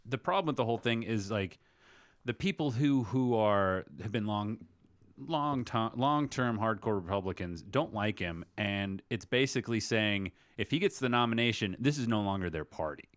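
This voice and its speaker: Low voiced guy on a podcast, rambly political chat, rewords himself a lot, dry sound. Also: animated, normal speed, fairly bright tone, no room sound. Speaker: podcast co-host.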